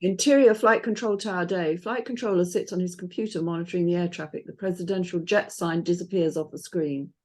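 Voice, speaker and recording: A woman's voice: fairly low pitch, fairly quick, hardly any pauses. A woman reading out stage directions as scripted narration.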